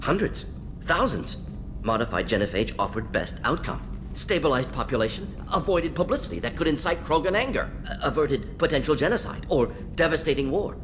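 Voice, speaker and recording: A man's voice: on the high side, very animated, background noise. An actor playing a brainy, pragmatic male alien scientist in a video game, speaking in clipped, telegraphic phrases. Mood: defensive, emphatic. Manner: clipped telegraphic phrases, rapid-fire listing. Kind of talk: video game dialogue acting.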